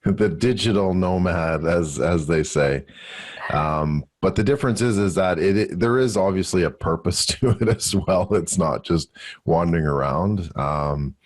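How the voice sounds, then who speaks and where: A Canadian man giving casual interview answers as a guest, talking haltingly and with good humour. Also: sits low, no echo. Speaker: podcast guest, business mentor and speaker.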